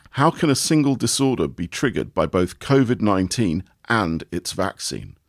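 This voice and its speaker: Guy reading an article out loud, measured and expressive, very dry sound. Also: on the low side, dry recording. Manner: measured, expressive reading with lively rise and fall. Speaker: reader of an article. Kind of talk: read-aloud article narration.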